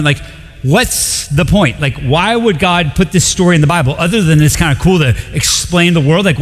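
A man from Colombia preaching to a congregation, joking and animated as he leads into the text. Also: on the high side. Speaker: pastor. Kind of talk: sermon. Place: church sanctuary. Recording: long hall reverb.

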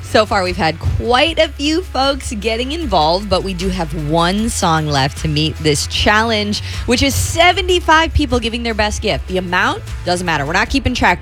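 A woman's voice: mid-range voice, sing-song, moderate pace, a bit bright, soft background music. Woman radio host reading listener notes. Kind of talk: fundraising radio chat.

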